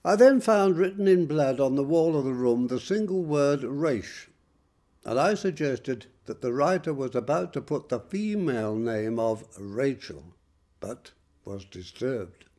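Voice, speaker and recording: An actor playing a wry Victorian police detective, recalling a case in a measured dramatic monologue. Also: male voice, mid-range voice, animated, a little mellow. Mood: grave, recounting. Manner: wry, measured, emphatic repetitions. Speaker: actor introducing a story.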